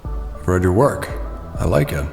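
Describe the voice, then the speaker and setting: A man's voice: low-pitched, lively intonation, unhurried, creaky, echoey room, big-room sound, faint music behind, full character voice. A man voicing a charming, polite male billionaire host in an audio drama.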